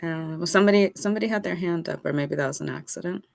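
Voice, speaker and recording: A woman with a low, gravelly voice, moderating an online session. She is recorded dry and close over a video call. She speaks politely, with a slightly apologetic tone and some fillers. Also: fast, no echo. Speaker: webinar moderator.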